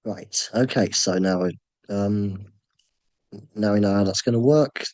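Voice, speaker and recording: Hoarse low-voiced man hosting a draw. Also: darkish tone, dry recording. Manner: halting, many ums and uhs, low-key. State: sore throat, voice nearly gone. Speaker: host running a fantasy draw. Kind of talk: casual screen-share commentary.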